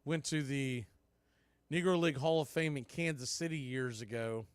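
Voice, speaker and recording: A man's voice: medium pitch, normal speed, smooth, dry recording. A man calling baseball play-by-play, rising sharply on big plays. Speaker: play-by-play announcer. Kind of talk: radio play-by-play commentary.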